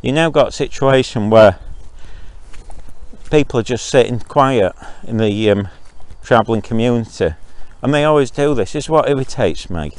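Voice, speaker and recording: A man's voice: medium pitch, animated, moderate pace. A man from Zambia hosting his own podcast, giving his opinions in a rambling monologue with frequent ums and restarts while outdoors walking his dogs. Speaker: podcast host.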